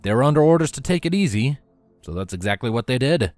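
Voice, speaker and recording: A man's voice: medium pitch, sing-song, fast, darkish tone, faint music behind. A man reading scripted story narration as the narrator of an audio storybook.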